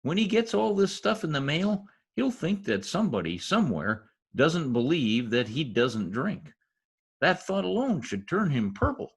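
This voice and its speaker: A man's voice: fairly high pitch, very animated, moderate pace, vocal fry, breathy edge, full character voice. A man playing an eager, mischievous teenage schoolboy in an old-time radio comedy, with an earnest, gushing manner and flowery words. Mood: gleefully scheming.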